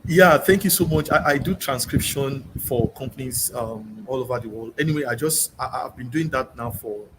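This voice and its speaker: A man's voice: mid-range voice, moderate pace, background noise. A Nigerian man answering interview questions about his work, politely thanking the host again and again. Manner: polite, repeats "thank you so much". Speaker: job seeker guest.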